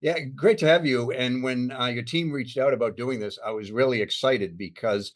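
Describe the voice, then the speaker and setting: A man with a medium-pitched voice hosts an interview show. He talks in a casual, conversational way with his guest. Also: normal speed. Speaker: podcast host.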